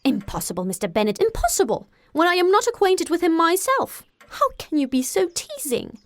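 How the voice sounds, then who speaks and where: Audiobook mother role, woman performing: middle-aged, high and excitable, theatrically fretful and gushing. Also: a little fast, dry recording. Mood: agitated, exasperated. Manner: fretful, effusive, dramatic. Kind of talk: audiobook character acting.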